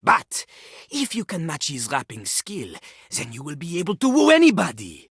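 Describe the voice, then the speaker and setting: A woman's voice: low voice, wildly swooping pitch, moderate pace, breathy edge, no room sound, clean studio sound, heavily acted. A woman voicing a boastful, vulgar male French rapper toilet in a video game, with a French accent and a pompous, theatrical delivery that slips into rap. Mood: scornful, challenging. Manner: pompous, refers to himself in the third person.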